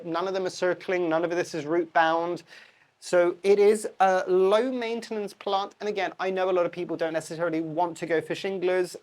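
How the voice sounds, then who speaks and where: Low-voiced stuffy woman chatting about plants. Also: darkish tone. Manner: chatty, rambling, self-correcting with laughs. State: stuffy, bunged up from a cold. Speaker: houseplant channel host. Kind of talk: casual chat to camera. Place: indoor plant room at home.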